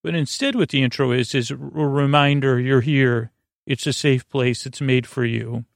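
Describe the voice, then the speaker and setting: Guy doing a rambly sleep podcast, kinda creaky gravelly voice, keeps wandering off on tangents, really dry close recording. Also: medium pitch, fairly even intonation, dry recording. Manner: meandering, halting, goes off on tangents. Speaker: podcast host. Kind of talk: rambling bedtime podcast monologue.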